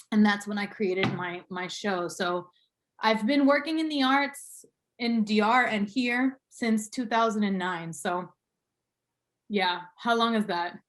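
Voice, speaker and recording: A woman in her thirties from Boston, telling her life story as an interview guest, reflective and full of fillers and small laughs. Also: medium pitch. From Boston, USA. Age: 30s.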